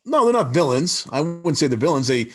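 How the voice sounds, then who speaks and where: A man's voice: fairly high pitch, big pitch swings, brisk, fairly bright tone. A man on a casual online fan panel, blunt and opinionated.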